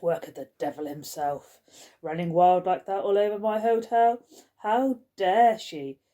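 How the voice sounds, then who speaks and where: A woman voicing a pompous, furious older woman who runs a hotel's staff, scolding in slow, drawn-out indignation. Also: fairly low pitch, expressive, mellow. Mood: fuming.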